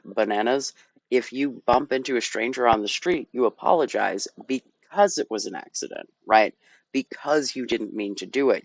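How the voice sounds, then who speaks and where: A man reacting to a video in casual chat, hesitant and halting with lots of fillers. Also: medium pitch, no room sound. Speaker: guest reactor.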